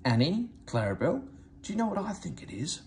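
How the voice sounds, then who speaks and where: A man voicing a cheeky, reckless young tank engine in a storybook, impudent and excitable. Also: high voice, a little fast, a little hiss or hum, voice pushed up. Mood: gleeful, cheeky.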